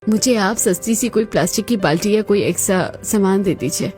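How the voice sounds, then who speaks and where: A woman's voice: medium pitch, a little fast, darkish tone, natural voice. A woman playing a practical, cheerful young housewife in a cartoon family story, with music behind her. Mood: polite request. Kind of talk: Hindi cartoon story dialogue.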